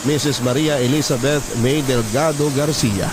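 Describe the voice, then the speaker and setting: A man's voice: mid-range voice, moderate pace, background noise. A man with a Filipino accent narrating a sentimental story as a radio host reading a letter. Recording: music behind the voice.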